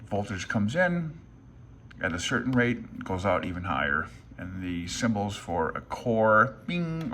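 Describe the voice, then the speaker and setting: An older man, around his sixties, with a lowish voice and a bright, forceful edge. He talks casually and loosely, like a hobbyist walking viewers through a book, dropping in irreverent, joking asides. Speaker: amateur radio video host. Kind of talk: casual rambling commentary on a handbook.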